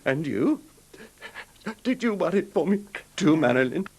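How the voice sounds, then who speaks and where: An actor playing an emotionally fragile, apologetic husband who hesitates and stammers in a 1970s radio drama. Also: male voice, on the high side, sing-song, measured pace, breathy edge, full character voice. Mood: remorseful, pleading. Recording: noisy 1970s radio broadcast recording.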